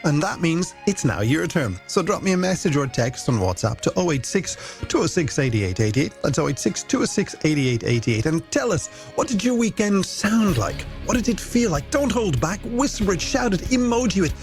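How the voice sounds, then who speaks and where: An Irish man hosts a music radio show in a slightly high voice with a creaky edge. His delivery is scripted and playful, full of wordy, alliterative patter. Faint music plays underneath him. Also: big pitch swings, normal speed. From Ireland. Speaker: radio host. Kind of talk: scripted late-night music radio presenting.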